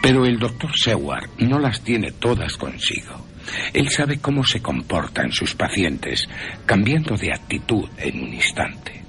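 A man's voice: on the low side, very animated, a little slow, fairly bright tone. A man from Spain with a Castilian accent, narrating scripted horror drama as a radio presenter, with music underneath. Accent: Castilian Spanish.

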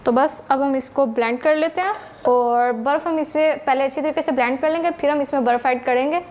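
A woman's voice: sits high, fairly quick, darkish tone. A woman hosting a home cooking channel with a Pakistani Urdu accent, patiently explaining each recipe step to her viewers.

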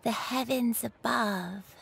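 Slow, creaky old granny. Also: female voice, on the high side, a bit bright, heavily acted. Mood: dreamy. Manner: wistful, rambling. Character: dreamy, forgetful, gentle old granny. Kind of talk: video game voice acting.

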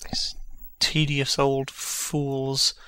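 A man's voice: mid-range voice, monotone, drawn-out words, pushed and bright, clean tone, no echo. A man playing a mocking, brooding prince who feigns madness, theatrical and sardonic in a Shakespearean dramatic reading. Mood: bitter.

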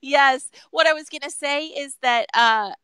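A woman's voice: sits high, a little slow, bright, forceful tone, dry recording. A woman in her thirties chatting informally as a guest, speaking into a headset on a video call. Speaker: guest, marketing consultant. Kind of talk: casual chat. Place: room at home, indoors.

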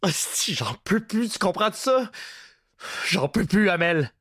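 A desperate prisoner, crying and begging in a strained, high, panicked register, as a man puts him on. The voice is husky and close, in a dry space with no room sound. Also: normal speed, dry recording, close to an everyday voice. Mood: panicked pleading. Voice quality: gravelly. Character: terrified, exhausted captive man pleading for his life.

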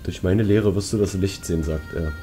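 Low, mellow man musing over a game. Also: brisk. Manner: musing aloud, thinking through puzzles. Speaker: let's player. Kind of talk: casual gameplay commentary. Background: game music.

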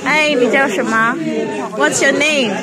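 A woman's voice: high-pitched, a little slow. A young woman vlogging casually and playfully as she introduces classmates, giggly and teasing. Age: young adult. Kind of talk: casual, playful chat among friends. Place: busy indoor school lobby. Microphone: handheld phone. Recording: loud crowd chatter around the voice. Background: loud chatter of classmates.

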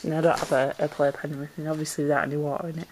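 A woman's voice: sits low, flat delivery, racing, a little hiss or hum. A woman chatting casually as she unboxes pet supplies at home, a little congested from a cold and rambling with ums. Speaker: pet vlogger. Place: indoors at home, camera pointed down into a box.

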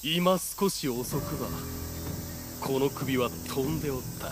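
An actor playing a shrewd, exuberant warlord in a samurai video game, with rustic old-fashioned speech. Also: male voice, mid-range voice, unhurried, music in the background, close to an everyday voice. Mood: stern, commanding. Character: shrewd, exuberant warlord becoming ruler of the realm.

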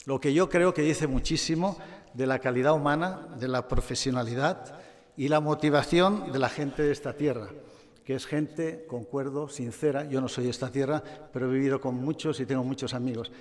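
An older man from Catalonia giving a formal award acceptance speech in a measured manner with touches of humor. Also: medium pitch. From Catalonia, Spain. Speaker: award recipient. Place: large gala hall. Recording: long reverb of a hall.

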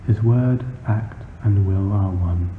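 A man plays a grandiose believer in a literary story. He declaims slowly and solemnly, as if out to persuade. The sound is narrow and phone-like, with roomy echo. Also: fairly low pitch, a little hiss or hum. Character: theatrical male believer arguing theology, solemn and grandiose.

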